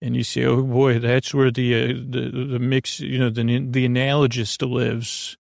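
A gravelly man with plenty of vocal fry hosts a sleep podcast in a dry, close sound. He meanders and stammers, doubling back on his words. His delivery is self-deprecating and built for bedtime listening. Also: mid-range voice, little pitch movement, a little slow, dry recording. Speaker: podcast host. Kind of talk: rambling bedtime podcast monologue.

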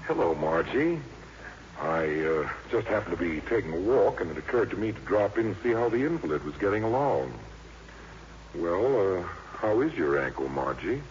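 A polite, slightly awkward gentleman in a vintage radio play. His voice is very low yet lively in its inflection, on a muffled broadcast. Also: moderate pace, slight background noise. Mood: courteous, hesitant. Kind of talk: 1950s radio acting.

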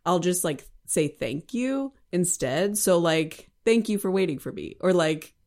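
A woman's voice: lowish voice, animated, a little slow, darkish tone. A woman from the Midwest co-hosting a podcast, chatting playfully and laughing often. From American Midwest. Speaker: podcast co-host. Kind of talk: casual chat.

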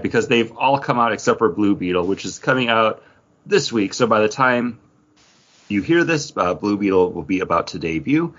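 A man's voice: on the low side, a bit bright, lo-fi sound. A man co-hosting a podcast in a casual, wry, teasing way. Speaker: podcast co-host. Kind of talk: casual banter.

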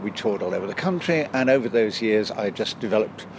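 A man's voice: lowish voice, expressive, brisk. A middle-aged man explaining things as an expert interview guest, articulate and considered, with noticeable noise behind him. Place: on a stage inside a big top tent. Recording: noticeable on-site background noise.

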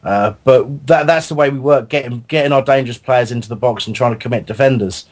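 A man's voice: medium pitch, fast. A man co-hosting a football fan radio show, with a dry wit and a thoughtful way of talking. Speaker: co-host. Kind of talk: casual fan radio chat.

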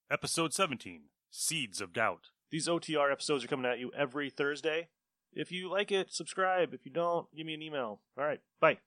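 A man's voice: medium pitch, a bit bright, no room sound. A man hosting an old-time radio podcast, introducing the episode in an offhand, friendly way. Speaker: podcast host. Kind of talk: casual introduction.

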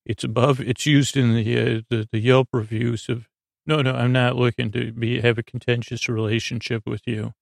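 Male sleep podcast host: gravelly, very even pitch, lulling, hesitant and meandering delivery. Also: no room sound. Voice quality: creaky. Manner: meandering, halting, trails off into digressions; lulling, soothing. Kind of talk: rambling bedtime monologue to put listeners to sleep.

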